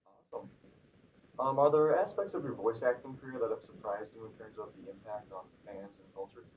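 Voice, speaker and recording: A male podcast host reading his questions quickly and briskly. His voice sounds far from the mic, dull and lo-fi, with faint noise underneath. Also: lowish voice, darkish tone. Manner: brisk, reads questions from a list. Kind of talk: reading prepared interview questions.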